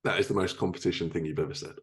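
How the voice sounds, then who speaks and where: A man with a distinctly low-pitched voice hosts an interview podcast. He talks informally, in a casual conversational style. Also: steady tone, rapid-fire. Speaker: podcast host.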